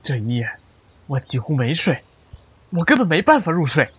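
A man's voice: on the high side, sing-song, moderate pace, husky, full character voice. An actor playing a bewildered lawyer in his thirties, a stranger in another world, earnest and hesitant in a radio drama. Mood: uneasy. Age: 30s. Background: background music.

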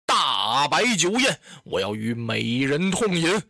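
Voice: mid-range voice, very animated, takes it slowly, gravelly, bright, forceful tone, no room sound, studio quality, pitched below the performer's own voice, full character voice. A storyteller voicing an old male tyrant in a historical tale, lordly and self-important, grave yet coaxing and doting.

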